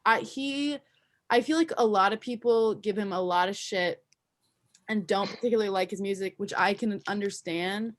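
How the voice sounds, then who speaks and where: A woman's voice: medium pitch, moderate pace. Upbeat young woman podcast host. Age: young adult. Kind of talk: casual chat.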